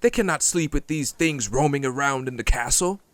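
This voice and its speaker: A man's voice: medium pitch, normal speed, dry recording, voice pushed up, close to an everyday voice. A man playing a stern but compassionate lord and grieving head of his house in a dramatic audio roleplay, speaking formally and emphatically. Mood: exasperated. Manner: earnest, formal, emphatic, indignant.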